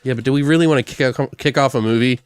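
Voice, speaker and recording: A man co-hosting a comedy podcast, brainstorming casually in a rambling, profane way as he thinks out loud. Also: mid-range voice, fast, smooth, dry recording. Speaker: podcast co-host.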